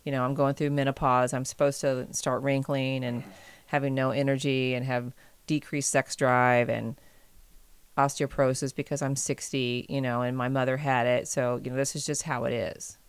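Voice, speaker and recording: A woman chats on a podcast about health, pressing her points firmly. Her voice is smooth and resonant, and the sound is dry with no room around it. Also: extremely low pitch, flat delivery, moderate pace, dry recording. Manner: emphatic, insistent. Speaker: podcast co-host. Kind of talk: casual chat.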